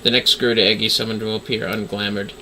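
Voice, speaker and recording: A man's voice: on the low side, little pitch movement, fast, pushed and bright, faint music behind. A man doing casual livestream game commentary, talking to himself as he plays and reading game text aloud. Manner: talks to self while playing. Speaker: livestream gamer, VTuber.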